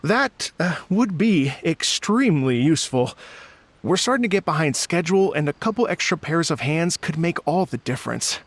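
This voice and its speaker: An earnest, courteous festival organizer in a video game. He has a fairly high male voice with animated intonation and slightly hesitant phrasing. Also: moderate pace, dry recording. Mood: earnest, polite.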